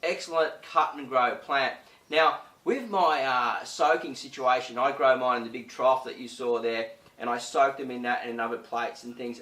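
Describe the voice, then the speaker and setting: A man hosting a casual gardening tutorial, chatty and full of fillers, his voice slightly croaky. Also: medium pitch, sharp tone, roomy sound, distant mic. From Australia. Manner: chatty, many "uh" and "you know" fillers. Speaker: gardening channel host.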